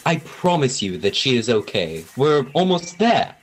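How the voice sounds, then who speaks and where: A performer playing a calm, cryptic forest guide who speaks solemnly in an amateur radio play. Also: mid-range voice, a little hiss or hum. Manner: slow, solemn, knowing.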